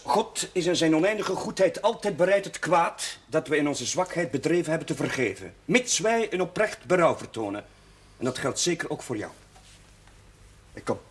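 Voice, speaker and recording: Actor as an earnest, high-voiced chaplain. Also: male voice, slight background noise, natural voice. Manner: earnest, agitated. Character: earnest, troubled male parish chaplain. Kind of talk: television drama acting.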